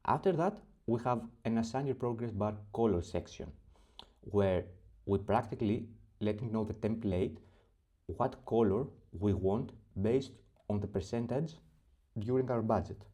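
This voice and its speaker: Greek man, non-native English, low-set voice, calm unhurried walkthrough of a spreadsheet. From Greece. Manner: methodical step-by-step explaining with frequent pauses and fillers. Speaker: spreadsheet template creator. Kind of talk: screen-recorded software tutorial.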